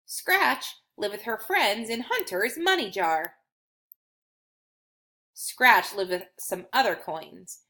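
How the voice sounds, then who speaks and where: A woman's voice: medium pitch, expressive, slow, long pauses, pushed and bright. A woman narrating a children's storybook as a read-aloud. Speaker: storybook reader.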